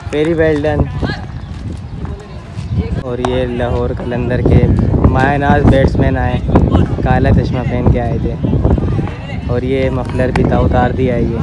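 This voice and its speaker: A man commentating on a street cricket match outdoors, describing each ball and cheering the players on. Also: on the high side. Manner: excited praise of players. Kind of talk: slow, relaxed cricket commentary. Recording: loud outdoor noise on a phone.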